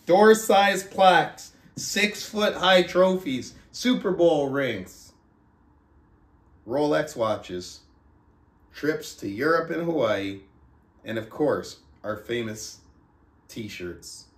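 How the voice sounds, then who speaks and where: A man who speaks as a motivational book club host, reading aloud and coaching in an emphatic, deliberate, rhetorical manner. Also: a little high, expressive. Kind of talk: audiobook-style reading aloud and motivational talk. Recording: roomy, echoing sound.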